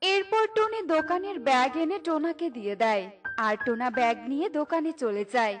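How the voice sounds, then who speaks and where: Woman storyteller, high voice, scripted cartoon narration, music behind. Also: animated, normal speed.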